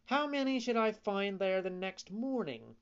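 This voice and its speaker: A reader voicing a naive, excitable wooden puppet boy who is easily astonished, in an audiobook. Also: medium pitch, a little slow, clean tone, lo-fi sound, voice pushed up. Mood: eager. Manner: wide-eyed, eager, easily astonished. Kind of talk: audiobook reading.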